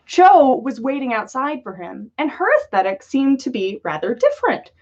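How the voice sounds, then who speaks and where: A woman's voice: sits high, very animated, moderate pace, darkish tone. A woman reading a story aloud as a livestream host, with lo-fi sound. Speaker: podcast host reading fan fiction aloud. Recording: dull lo-fi livestream audio.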